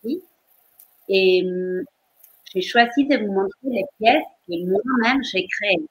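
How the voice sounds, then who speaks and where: A woman in her fifties from Argentina with an Argentine Spanish accent, a dancer and choreographer answering interview questions slowly and carefully. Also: medium pitch, husky, poor audio. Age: 50s.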